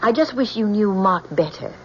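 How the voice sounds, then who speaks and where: An earnest, devoted young daughter, torn by loyalty and full of pleading drama. Her delivery swings widely in pitch, on a muffled vintage radio recording with faint noise. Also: animated, moderate pace, a bit bright. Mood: earnest, gentle. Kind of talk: 1970s radio drama acting. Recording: dull, noisy old radio recording.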